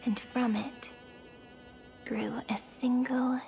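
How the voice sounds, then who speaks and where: A gentle, wistful young woman in a game, her high voice breathy and often sinking into a whisper. She speaks extremely slowly and poetically, as if fading into sleep, with prominent music behind her. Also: level tone. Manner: breathy, hushed, drifting into whispers. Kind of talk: game cutscene voice acting, poetic monologue. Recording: voice under loud string music.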